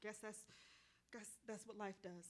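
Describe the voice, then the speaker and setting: An actress with an American accent playing a hard-bitten, impatient street spiritual reader in a staged play reading, blunt and bossy. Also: female voice, mid-range voice, steady tone, a little slow, close to an everyday voice. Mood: dismissive, weary.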